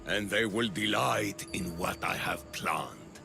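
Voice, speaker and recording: A man's voice: low voice, animated, slow pace, gravelly, over music, heavily acted. A man playing a smug, power-hungry politician of ancient Athens in a video game, speaking in grandiose oratory. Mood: smug, scheming. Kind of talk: cinematic video game acting.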